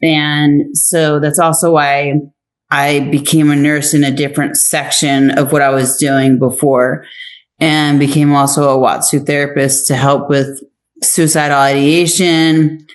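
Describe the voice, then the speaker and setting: A woman's voice: low-pitched, flat delivery, unhurried. A woman speaking as an interview guest in a casual, chatty way, telling anecdotes conversationally. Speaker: interview guest, actress and writer.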